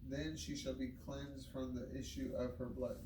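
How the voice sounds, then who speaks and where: A man reads scripture aloud in a measured, solemn manner with very little movement in pitch. He sounds set back from the microphone in an echoing room, and his delivery is that of formal recitation. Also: level tone. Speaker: scripture reader. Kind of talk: scripted Bible reading aloud. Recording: roomy, distant microphone, noisy background.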